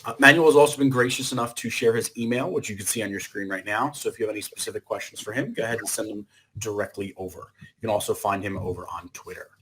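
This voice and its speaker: A man's voice: fairly low pitch, fast, a bit bright, a little hiss or hum, low-quality recording. A man hosting and moderating a webinar, delivering formal, polished closing remarks. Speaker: host and moderator.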